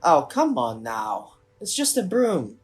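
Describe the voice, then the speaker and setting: An actor voicing an earnest teenage schoolboy in an audio drama, with a halting, questioning manner. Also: medium pitch, wildly swooping pitch, husky, soft background music, exaggerated voice. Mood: playful. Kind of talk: scripted audio drama acting.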